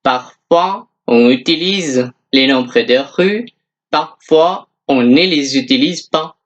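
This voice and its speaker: A language teacher giving a scripted beginner lesson in a deliberate, instructional manner, repeating example phrases. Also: mid-range voice, muffled recording.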